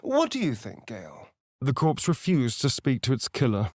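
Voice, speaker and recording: An actor playing a sly, vain vampire rogue in a video game, with an arch, sardonic, flamboyant delivery. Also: male voice, medium pitch, huge pitch swings, moderate pace, no echo, studio quality. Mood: wry, brooding. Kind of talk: video game voice acting, dramatic lines and narration.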